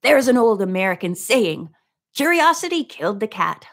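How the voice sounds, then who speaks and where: A sneering male villain from a storybook, acted out by a woman. He taunts with open contempt, posing as a servant while he gloats, and his voice has plenty of creak. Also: fairly high pitch, very animated, moderate pace, voice pushed up. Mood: mocking, menacing.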